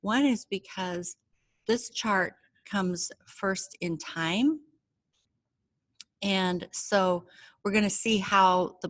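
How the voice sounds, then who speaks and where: American lady astrologer, pretty low voice, super dry sound, explaining stuff step by step and reassuring people. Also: a bit bright, no room sound. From United States. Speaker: astrologer presenting a chart reading. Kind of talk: informal explanatory talk to viewers. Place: indoors, away from home.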